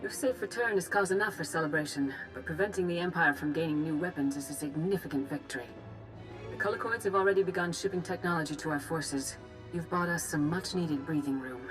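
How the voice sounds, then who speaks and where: Woman playing a low-voiced, solemn Jedi master. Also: music in the background, natural voice, low-quality recording. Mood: relieved, resolute. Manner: formal, measured, solemn. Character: grave, composed female Jedi master giving a war briefing. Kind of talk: scripted video game dialogue.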